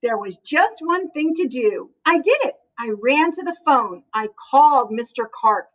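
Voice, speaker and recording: A woman reading a children's picture book aloud in an expressive, animated way, as if to young listeners. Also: sits high, unhurried.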